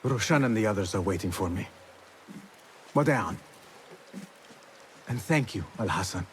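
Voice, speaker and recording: An actor playing a calm, earnest young male novice assassin in a video game cutscene, speaking in a measured way. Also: fairly low pitch, a little hiss or hum.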